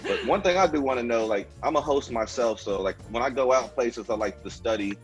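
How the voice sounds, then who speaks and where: A man's voice: mid-range voice, a little fast, dull audio, faint music behind. A man co-hosting a casual radio interview, talking in a slangy, informal way to guest and listeners. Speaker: radio show co-host and event host.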